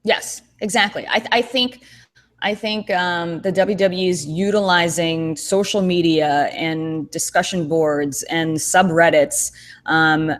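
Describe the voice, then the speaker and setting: A woman with a somewhat low voice co-hosts a relaxed chat over a video call. She thinks aloud, circling back with fillers and restarts as she builds her point. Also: unhurried. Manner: thoughtful, rambling with many fillers and restarts. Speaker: co-host. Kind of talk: casual podcast chat.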